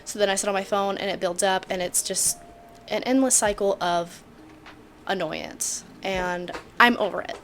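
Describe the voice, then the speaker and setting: A woman's voice: mid-range voice, normal speed, a little hiss or hum. A twenty-year-old woman talking casually to camera at home in a chatty vlog, joking and full of fillers. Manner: bubbly, joking, filler-heavy. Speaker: vlogger.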